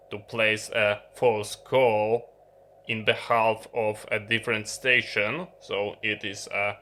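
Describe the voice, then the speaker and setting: A man who is not a native English speaker gives an unscripted product explanation, halting and often pausing mid-sentence. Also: on the low side, sharp tone. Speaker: video presenter.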